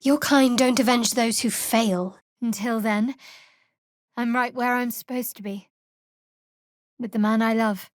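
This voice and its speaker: A woman voicing a guarded, sardonic young woman cleric in a fantasy video game, with a measured, dry delivery. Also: a little high, fairly even intonation, no room sound, natural voice. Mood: earnest.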